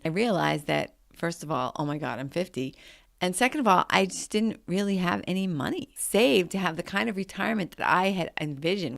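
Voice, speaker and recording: Gravelly-voiced woman in her late fifties. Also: fairly low pitch, a bit bright, no room sound, studio quality. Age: 50s. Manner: chatty and conversational, with frequent "like" and "I mean". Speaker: podcast host. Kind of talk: motivational podcast monologue.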